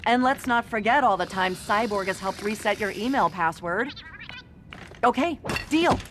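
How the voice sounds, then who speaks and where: A woman performs a feisty newspaper reporter in a game cutscene. The voice sits at a medium pitch and moves expressively, pointed and insistent, with faint noise behind. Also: animated, normal speed, natural voice. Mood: indignant. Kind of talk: animated video game cutscene acting.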